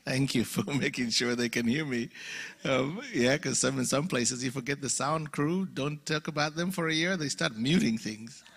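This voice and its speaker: A man from Uganda with a Ugandan English accent, preaching as a guest in church, jovial and self-deprecating, rising to loud exclamations. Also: mid-range voice, normal speed, vocal fry, breathy edge. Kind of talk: sermon. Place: church sanctuary.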